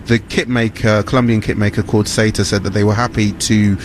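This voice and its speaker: A man's voice: on the low side, level tone, fairly quick, a little hiss or hum. A male news reporter doing field interviews and reporting, conversational and a bit hesitant with fillers. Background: music.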